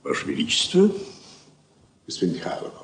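A man's voice: moderate pace, fairly bright tone, close to an everyday voice. An actor playing a deferential, formal courtier reporting to his king in a Soviet radio play. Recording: roomy old recording, faint noise.

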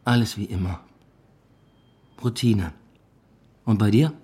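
A man's voice: lowish voice, slow, mellow, slightly rough. An actor playing a weary, introspective male academic, narrating a radio drama in a reflective, understated way. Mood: subdued, weary. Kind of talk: radio drama first-person narration and dialogue.

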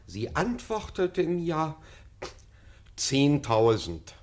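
A man's voice: fairly high pitch, wildly swooping pitch, drawn-out words, darkish tone, full character voice. An actor playing an obsequious, flustered official in a radio comedy, stammering and fussy in his deference. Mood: nervous, deferential. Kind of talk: classic German radio comedy acting.

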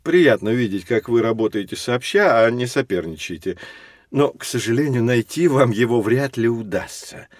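Actor as kindly, animated professor. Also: male voice, medium pitch, no echo, studio quality, close to an everyday voice. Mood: kindly correcting. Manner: explanatory, courteous, measured phrasing with emphasis. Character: kindly, patient, didactic male professor. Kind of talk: children's educational audio drama.

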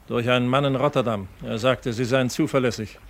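An actor playing a reserved, secretive Dutch passenger in a radio crime drama, polite and terse. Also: male voice, mid-range voice, steady tone, a little fast, lightly acted. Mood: guarded, terse. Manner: terse, guarded. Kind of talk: 1970s German radio crime drama dialogue. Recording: radio play with clear boat ambience noise. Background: boat and water sounds.

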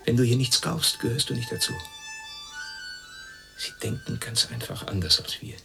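A man's voice: medium pitch, huge pitch swings, normal speed, gravelly, sharp tone, faint music behind, exaggerated voice. A man playing a bitter, lonely newcomer from East Germany in a radio play, brooding as he talks.

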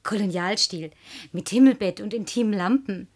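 An actress playing a bossy, house-proud young woman in a radio play, brisk and commanding. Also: mid-range voice, a little mellow, lightly acted. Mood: matter-of-fact, proud.

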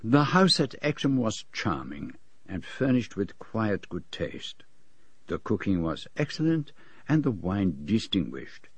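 A polite, watchful foreign detective of middle age in a radio drama. His voice is low and a little veiled, recorded very dry. He speaks in measured, formal and precise phrases, as if carefully weighing each observation. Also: big pitch swings, husky, no room sound, full character voice. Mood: reflective, intrigued. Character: courteous, observant middle-aged foreign detective narrating his own case. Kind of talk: BBC radio drama dialogue and first-person narration.

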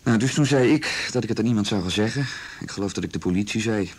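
A low-voiced, earnest schoolboy played by a male actor in a radio play. He sounds uneasy and hesitant, recounting things respectfully. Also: quick pace, natural voice. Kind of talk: Dutch radio drama acting.

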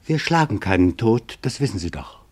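A man narrating a scripted radio documentary in a sober, deliberate manner. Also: on the low side, sing-song.

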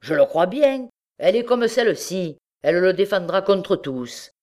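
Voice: mid-range voice, lively intonation, a little slow, darkish tone, lightly acted. A narrator voicing a scornful, bitter man who blusters and threatens in a dramatic storybook reading. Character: indignant, scornful accused man, harsh and bitter.

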